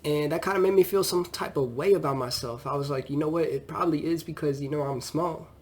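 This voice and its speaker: A man's voice: a little high, fast. Stuffy-nosed young man, casual vlog chat. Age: 20s. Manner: loose and chatty, with many fillers like "you know". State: stuffy nose from a cold. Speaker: fitness trainer and vlogger. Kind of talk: casual rambling vlog talk.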